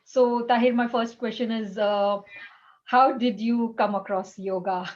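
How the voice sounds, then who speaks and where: A woman hosting a chat show, talking in a halting way with many fillers as she introduces her guest. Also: a little high, steady tone, a little mellow, muffled recording. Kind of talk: casual interview chat.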